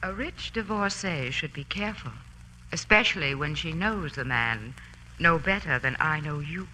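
Bright, coy rich divorcee. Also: female voice, low voice, lively intonation, moderate pace. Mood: coy, flirtatious. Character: rich, flirtatious, guarded divorcee. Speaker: radio actress. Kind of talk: old-time radio drama acting. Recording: noisy old radio recording.

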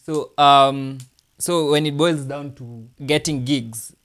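A man's voice: mid-range voice, takes it slowly, fairly bright tone. A man co-hosting a casual podcast chat, from Kenya, steering the talk with questions. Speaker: podcast co-host.

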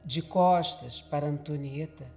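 Slow, dramatic Brazilian woman narrator. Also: sits low, warm tone, soft background music. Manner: deliberate, dramatic storytelling. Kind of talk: scripted horror radio drama narration.

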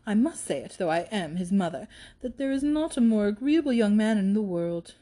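A woman's voice: medium pitch, very animated, a little fast, mellow, slightly rough. A woman voicing a proud, complacent older mother in a period storybook, genteel, polite and self-satisfied in manner.

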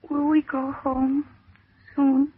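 An actress in an old-time radio drama playing a dazed woman retreating into a serene delusion, speaking in a dreamy, halting, childlike way. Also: high-pitched, little pitch movement. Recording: muffled, tinny old radio recording.

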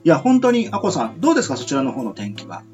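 A male radio host from Kyushu talks in a very animated, swinging voice over faint music. He is polite and wordy, feeling his way through long casual sentences. The sound is a bit dull and lo-fi. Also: fairly high pitch. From Kyushu, southern Japan. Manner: polite, wordy, halting build-up to questions. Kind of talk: casual chat interview. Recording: muffled lo-fi audio with music behind. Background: background music.

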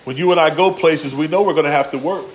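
Homem pregando com gírias descontraídas. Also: male voice, fairly high pitch, quick pace, slight background noise. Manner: casual, slangy, acts out quotes. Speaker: pastor. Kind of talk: conversational sermon.

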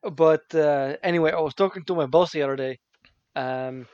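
A Dutch man speaking English with his accent, his voice sitting a little high for a man. He rambles loosely with lots of fillers and giggles. The sound is dull and very dry. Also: moderate pace, darkish tone, no room sound. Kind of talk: casual chat.

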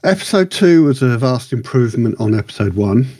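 Male podcast co-host, husky and relaxed, halting conversational delivery, rambling through opinions. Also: medium pitch, no room sound. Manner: rambling, halting, circles back. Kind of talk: casual discussion of TV shows.

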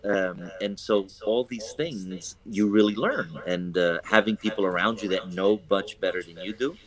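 Man, lowish voice, casual interview talk, rambling with lots of fillers and restarts. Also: normal speed, crowd noise, poor audio. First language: English. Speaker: real estate broker guest.

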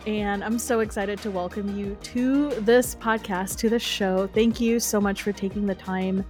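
A woman's voice: medium pitch, fairly even intonation, normal speed, a little mellow, soft background music. A woman hosting a podcast, speaking in an enthusiastic, uplifting, motivational way. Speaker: podcast host. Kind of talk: scripted podcast intro, then casual interview chat.

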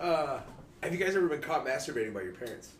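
A man's voice: fairly high pitch, lively intonation, fast, reverberant, recorded from a distance, heavy background noise. A man guesting on a comedy podcast, asking joking questions between laughs. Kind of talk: casual comedic chat.

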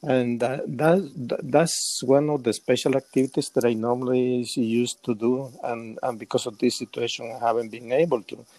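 A man of sixty-five from El Salvador with a Salvadoran Spanish accent, giving casual, halting answers as an interview guest. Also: mid-range voice, fairly even intonation, a little mellow, no room sound, rough recording. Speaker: interview guest, semi-retired.

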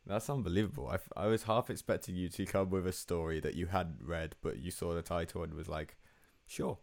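Young male podcast host; loose, rambling, self-deprecating delivery, very low voice. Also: a little fast, vocal fry, darkish tone. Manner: rambling, self-mocking, laughs often. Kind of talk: casual chat.

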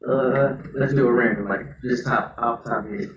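A man's voice: medium pitch, steady tone, moderate pace, reverberant, far from the mic, dull audio, a little hiss or hum. A man chatting playfully with friends and laughing often. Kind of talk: casual chat with friends.

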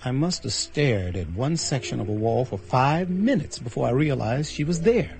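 An anxious, bewildered fellow telling his odd tale in a radio play. His pitch swings widely and he trips into stammers when flustered. Faint music plays behind him. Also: expressive, moderate pace, creaky, a little mellow. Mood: mystified, recounting. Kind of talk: radio drama acting with first-person narration.

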